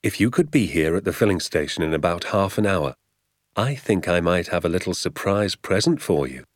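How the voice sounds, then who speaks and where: A man's voice: low-pitched, animated, normal speed, no echo, studio quality. A man voicing a fond, sly, proud father in a storybook, with an easygoing, assured manner. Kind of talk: storybook character voice.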